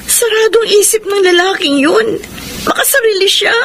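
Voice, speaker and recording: An actress with a Filipino accent playing a defiant, distressed young daughter in a radio drama. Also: female voice, very high voice, normal speed, gravelly, a bit bright, slight background noise, full character voice.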